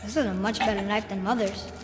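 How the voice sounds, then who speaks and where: A man's voice: sits high, fairly even intonation, fast talker, heavy background noise, close to an everyday voice. An actor playing an arrogant, defiant young boy god in a video game cutscene, insolent and challenging. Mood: cocky, dismissive. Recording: echoey reverberant hall, loud music.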